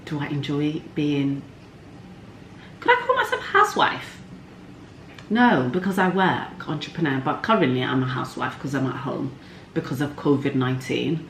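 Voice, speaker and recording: A woman's voice: very deep voice, moderate pace. Candid woman vlogging in echoey room. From Somalia. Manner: candid, cheeky, informal slang. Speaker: vlog host. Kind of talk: casual chatty get-to-know-me vlog. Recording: echoey room, faint background noise.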